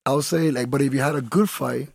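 A fighter in his thirties from Colombia talks in an easygoing, rambling way, leaning on lots of "like"s. His voice is heavily gravelly and husky. The sound is dry and close. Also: mid-range voice, quick pace, darkish tone, no room sound. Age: 30s. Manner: laid-back, rambling with many "like"s. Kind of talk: casual interview talk.

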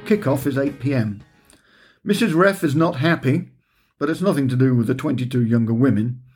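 A man's voice: medium pitch, moderate pace, darkish tone, slightly rough, faint music behind. A middle-aged man reading his own book aloud, telling the story in a dry, wry tone with understated humour. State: recovering from a heavy cold. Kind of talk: audiobook narration.

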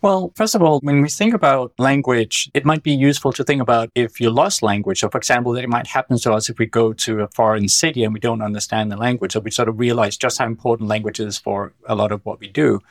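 A man's voice: medium pitch, quick pace, few pauses, dry recording, clean studio sound. A middle-aged man from Denmark, a scholar who answers interview questions in a thoughtful, explanatory way with some self-deprecating humor. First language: Danish. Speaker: guest scholar.

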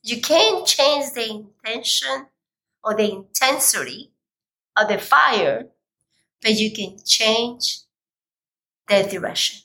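A woman speaks as a motivational coach in a fairly high, very bright voice with a slight rasp. She takes her time, pacing her words slowly and deliberately. Her delivery is emphatic and aimed straight at her viewers. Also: expressive, poor audio. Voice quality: slightly raspy.

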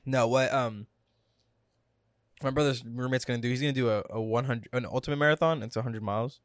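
A young man chats casually on his home podcast, loose and slangy, with a middle-pitched voice. He sits close to a desk mic in a small room, and the sound is dry with no echo. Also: brisk. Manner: laid-back slangy talk, drifts louder when excited. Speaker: podcast co-host. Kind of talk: casual chat.

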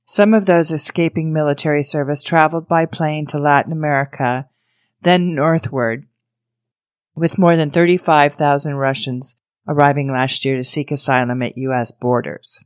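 A woman's voice: low voice, fairly even intonation, moderate pace, clean tone, dry recording, clean studio sound. A woman podcast host reading a scripted introduction and news story aloud as narration.

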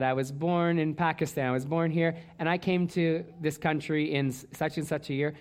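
A man from Canada, a pastor preaching a sermon in church, earnest, often restarting and repeating words. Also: a little high.

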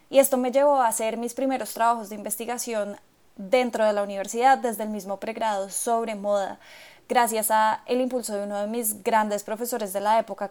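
A young adult woman co-hosting a podcast, introducing herself in an earnest, reflective and measured way. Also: fairly high pitch, darkish tone. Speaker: podcast co-host, fashion historian. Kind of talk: scripted-sounding personal introduction.

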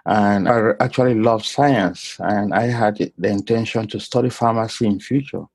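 A middle-aged man from Cameroon telling his life story in an interview, polite and unhurried. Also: on the low side, gravelly, a little mellow, no room sound. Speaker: guest, clinical lab scientist. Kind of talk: casual interview.

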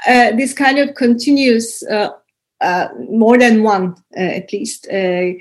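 A woman's voice: a little high, steady tone, slow. Hesitant woman interviewer full of fillers. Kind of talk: casual interview.

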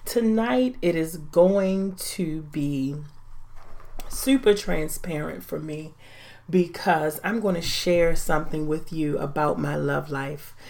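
A woman in her forties hosting a faith-based podcast, talking in an earnest, deliberate, reflective way. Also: low-pitched, gravelly, a little mellow. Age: 40s. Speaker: podcast host.

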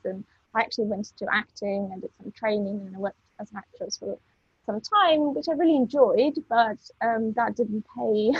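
Husky, gravelly woman. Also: on the high side, dry recording, dull audio. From Ukraine. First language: Russian. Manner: thoughtful, hesitant with many ums. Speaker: podcast guest. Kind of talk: casual interview chat.

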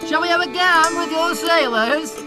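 A woman's voice: very high-pitched, moderate pace, music in the background. A woman playing a jolly, boisterous whaling-ship captain in an audio drama. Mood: hailing.

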